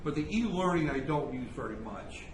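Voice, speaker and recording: Male lecturer, halting delivery with restarts, roomy classroom sound. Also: on the high side, expressive. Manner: halting, self-correcting. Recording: echoey classroom with background noise.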